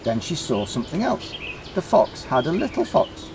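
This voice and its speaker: A man reading a children's story aloud in short, deliberately emphasised phrases. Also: on the high side, huge pitch swings, noisy background. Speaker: storybook reader.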